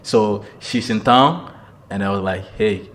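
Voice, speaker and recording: A man hosting a podcast in a relaxed, joking way, often repeating himself. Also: on the low side, unhurried, a little hiss or hum. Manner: easygoing, jokey, repeats words. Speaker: podcast host. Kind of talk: casual podcast chat.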